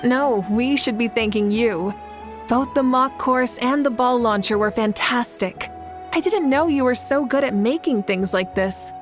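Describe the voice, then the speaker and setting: A woman's voice: fairly high pitch, measured pace, close to an everyday voice. An actress playing a confident, playful young woman leader in an anime-style video game, easygoing and teasing. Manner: easygoing, teasing, self-assured. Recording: faint background music under the voice.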